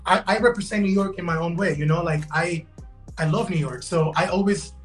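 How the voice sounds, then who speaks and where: Chatty high-voiced man on a video call. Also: a little fast, faint music behind. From Guatemala, raised in Los Angeles. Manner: chatty, many restarts, says "bro" and "man". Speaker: guest, digital fashion designer. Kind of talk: casual interview chat.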